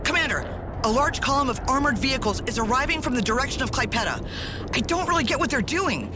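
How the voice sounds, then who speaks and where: Brisk, sardonic female army officer. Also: high-pitched, sing-song. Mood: brisk, alert. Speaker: military officer. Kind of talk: scripted video game mission dialogue. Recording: music behind the voice.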